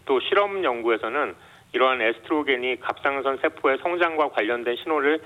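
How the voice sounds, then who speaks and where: Man explaining, on dull lo-fi radio. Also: mid-range voice. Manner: measured, explanatory, many filler hesitations. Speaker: medical doctor guest. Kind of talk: explaining medical facts in a radio interview.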